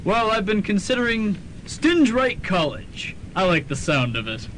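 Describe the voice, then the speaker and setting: Callous rich backer, a man acting: self-important, talks down to people, raised pitch, faint noise behind. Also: big pitch swings, a little slow, a bit bright, heavily acted. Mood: pompous. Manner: pompous, condescending. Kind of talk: radio drama acting. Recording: noisy amateur student radio recording.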